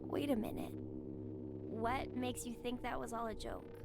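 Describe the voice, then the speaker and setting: A woman's voice: fairly high pitch, steady tone, measured pace, creaky, heavy background noise. A woman playing an earnest young woman in a dramatic video game, reasoning and pleading in a deadly situation. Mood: shocked, distressed. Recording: game music behind the voice.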